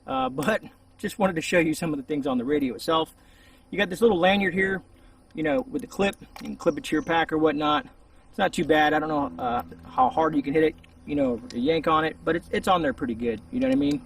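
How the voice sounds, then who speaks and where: Male reviewer, informal and rambling, slightly high voice, recorded outdoors with faint background noise. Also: animated, brisk, gravelly, recorded from a distance. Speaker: host of a gear review channel. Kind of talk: casual unscripted product review to camera. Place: outdoors in a shaded spot.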